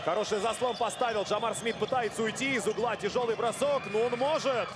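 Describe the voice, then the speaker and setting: A man calling a live basketball game play by play, brisk and lively. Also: high voice, background noise. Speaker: play-by-play commentator.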